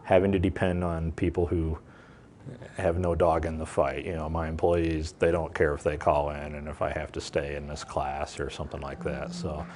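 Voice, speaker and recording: Very low-voiced man; reflective adult student and manager in a documentary interview, who lets his sentences fade out. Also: flat delivery, normal speed, darkish tone, a little hiss or hum.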